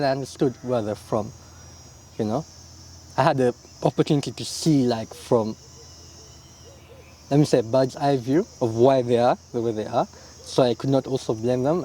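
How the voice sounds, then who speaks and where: Young man, easygoing and thoughtful, mellow tone, faint night insects behind. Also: medium pitch, a little hiss or hum. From Uganda. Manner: relaxed, reflective, many "yeah?" and "you know?" tags. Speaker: interview guest, illustrator. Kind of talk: casual interview answers.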